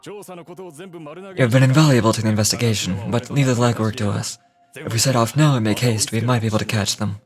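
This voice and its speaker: A man's voice: mid-range voice, fairly quick, music in the background, natural voice. Man playing an earnest search party leader. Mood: resolute. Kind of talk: video game voice acting.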